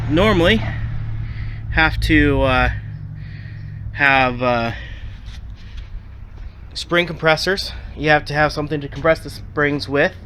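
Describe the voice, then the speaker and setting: A man's voice: on the high side, noisy background. Sniffly man explaining a car repair. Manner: halting, many ums and restarts. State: stuffy from a cold, sniffly. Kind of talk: casual how-to explanation.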